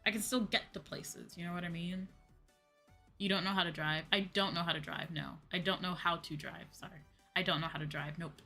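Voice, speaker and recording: A woman's voice: fairly low pitch, fairly even intonation, brisk, vocal fry, pushed and bright. An American woman chatting casually on a livestream in an informal, slangy, rambling way. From United States. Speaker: VTuber streamer, host. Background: background music.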